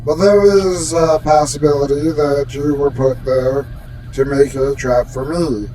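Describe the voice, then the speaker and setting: A man playing a gentle, earnest alien envoy in a sci-fi radio drama, speaking in stilted, formal phrasing. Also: a little high, steady tone, unhurried, faint music behind, natural voice, rough recording. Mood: thoughtful, measured. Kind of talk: golden age sci-fi radio drama acting.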